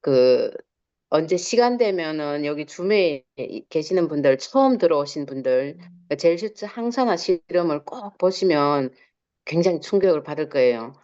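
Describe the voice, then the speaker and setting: A woman with a very low, smooth, resonant voice, heard over dull online meeting audio. She speaks effusively and informally to a group, repeating words for emphasis. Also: expressive, normal speed, dark tone. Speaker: business owner sharing product praise.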